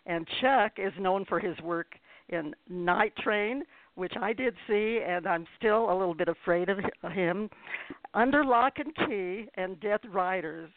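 A woman's voice: medium pitch, unhurried, dry recording. Woman radio host, dry phone-like sound. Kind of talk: conversational radio hosting. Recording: phone-like narrow-band audio.

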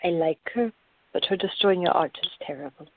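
An actress playing a calm, patient, gently firm woman who reasons in measured tones in a radio drama. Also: on the low side, lightly acted. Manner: measured, placating, explanatory. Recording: tinny, band-limited, echoey room.

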